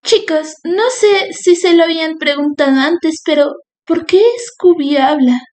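A very high female cartoon voice for a nerdy, uneasy young sleuth as a woman acts her out. The recording is dry, with little room around it. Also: measured pace, darkish tone, no echo. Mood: questioning. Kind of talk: cartoon fan dub.

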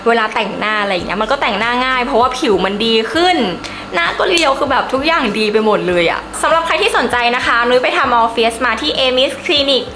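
Bright, chatty young woman vlogger. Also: a little high, a little hiss or hum. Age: young adult. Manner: chatty, enthusiastic, emphatic. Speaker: beauty vlogger. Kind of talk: casual review to camera.

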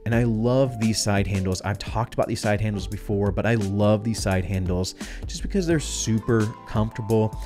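Congested nasal young man with low voice. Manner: casual, chatty gear talk. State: congested, stuffed up. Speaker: filmmaking channel host. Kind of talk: gear review vlog. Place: dim home studio. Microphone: studio mic on boom arm.

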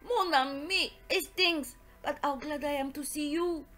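A woman's voice: fairly high pitch, expressive, moderate pace, husky, soft background music. A woman voicing a confident, middle-aged male detective, precise and affectionate, in an audiobook story. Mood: affectionate, effusive. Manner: precise, self-satisfied, foreign turns of phrase.

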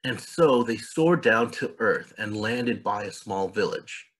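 Husky low-to-middle male storybook reader. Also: expressive, unhurried, a bit bright, poor audio. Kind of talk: scripted children's story narration.

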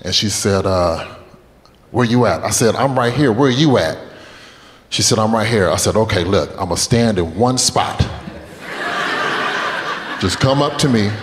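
A man's voice: fairly low pitch, a little hiss or hum. A man in his late twenties doing a stand-up comedy set on stage, telling loose, profane stories and talking with the crowd. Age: 20s. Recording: echoey club with long reverb. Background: audience laughter.

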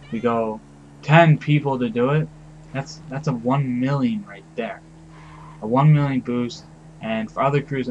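A man's voice: mid-range voice, dull audio, a little hiss or hum. A young man who is losing his voice lays down rules for his game crew in rambling casual talk, full of fillers, pleading and the odd swear word. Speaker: crew leader giving rules.

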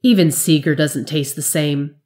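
A woman's voice: deep voice, normal speed, close to an everyday voice. A woman voicing a weary, moody male smuggler who is sick of his work. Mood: morose. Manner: morose, flat.

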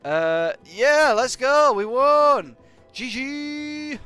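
A young man with a British accent and a croaky voice from hay fever, chatting to viewers in a rambling, jokey way while he plays a game live. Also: extremely high pitch, lively intonation, extremely slow, sharp tone, faint music behind. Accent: British English. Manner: rambling and jokey, hums nonsense syllables. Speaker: game streamer.

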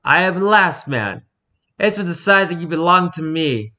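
A man voicing a domineering adult nomad captor with a gruff, commanding manner in a storybook reading. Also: a little high, lively intonation, normal speed, pitched above the performer's own voice. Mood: domineering, scornful. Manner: forceful, commanding.

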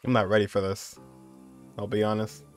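A man's voice: fairly low pitch, completely flat delivery, moderate pace, faint music behind. A young man giving casual reaction commentary as the host of a video. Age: young adult.

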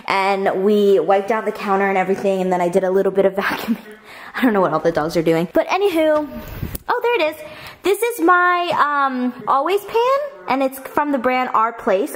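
A young adult woman with an American accent chatting casually to camera as a vlogger, rambling and informal. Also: a little high. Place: at a table in an open-plan house.

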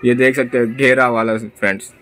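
Bright-voiced Indian man hosting a vlog. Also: mid-range voice, flat delivery, brisk, faint music behind. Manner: repeats the seller's answers back. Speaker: vlog host. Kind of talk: market shopping vlog, interviewing a seller and addressing viewers. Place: small clothing shop, indoors.

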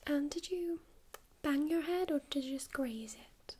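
High, gently whispering woman. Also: unhurried, a little hiss or hum. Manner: soft, gentle, reassuring whisper with calm step-by-step instructions. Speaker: ASMR creator playing a first-aid medic. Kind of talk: whispered ASMR medical roleplay.

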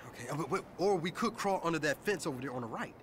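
An actor playing a jittery, wisecracking small-time criminal sidekick, excitable and exasperated, with an American accent. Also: male voice, on the high side, rapid-fire, slight background noise. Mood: nervous. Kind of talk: naturalistic video game acting.

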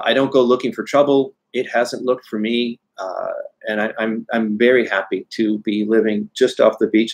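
Guy in his 40s, bit husky, talking candid into a webcam at home, middle pitch, rambly fillers. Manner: candid, chatty, frequent "uh" and restarts. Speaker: newsletter host answering viewer questions. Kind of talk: live Q&A, casual talk to camera. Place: home study with bookshelves, indoors. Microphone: webcam video call framing.

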